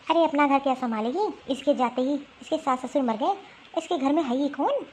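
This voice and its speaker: A woman voicing an angry, sharp-tongued woman scolding relatives in a family drama cartoon. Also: high voice, a little fast, slight background noise, natural voice. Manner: scolding, indignant. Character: angry, sharp-tongued Indian women quarrelling in a family feud.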